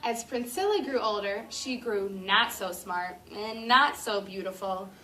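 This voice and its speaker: Bright-voiced teacher reading to kids. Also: female voice, mid-range voice. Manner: expressive, drawn-out storytelling with eager questions. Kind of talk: reading a picture book aloud to children. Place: classroom. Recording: distant classroom camera with faint noise.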